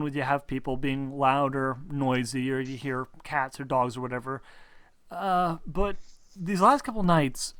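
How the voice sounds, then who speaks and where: A man voicing a nervous woman who haltingly asks for help in a tabletop game. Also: fairly high pitch, clean tone, no echo, natural voice. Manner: halting, stammering. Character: nervous woman client asking investigators for help.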